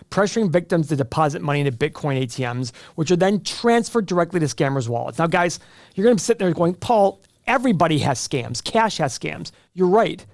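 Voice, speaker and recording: A man's voice: on the high side, a little mellow, dry recording, studio quality. An American man hosting an investing channel, giving opinionated finance commentary in an emphatic, argumentative way straight to the viewer.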